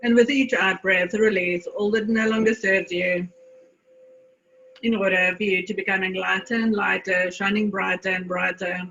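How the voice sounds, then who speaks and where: A woman from South Africa leading a guided meditation, speaking in deliberate phrases with long pauses. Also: mid-range voice, fairly even intonation, creaky, fairly bright tone, lo-fi sound, slight background noise.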